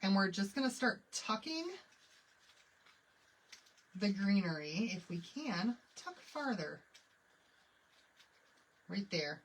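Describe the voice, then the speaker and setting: Woman, Michigan accent, chatty craft livestream host, lively swooping pitch, laughs often. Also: slow, long pauses, slight background noise, low-quality recording. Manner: chatty, laughs often, answers chat questions while working. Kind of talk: live crafting tutorial, chatting with viewers.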